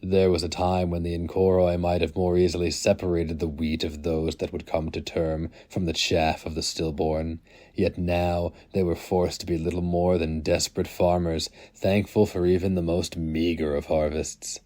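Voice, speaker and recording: Deep-voiced man giving a somber reading. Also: little pitch movement, warm tone. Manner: slow, somber, deliberate delivery. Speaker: narrator. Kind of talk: dramatic audiobook reading.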